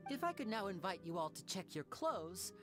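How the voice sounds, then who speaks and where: A man voicing a charming, playful young stage magician in a game, smooth and theatrical. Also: high voice, big pitch swings, moderate pace, over music. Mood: suave. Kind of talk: game cutscene voice acting.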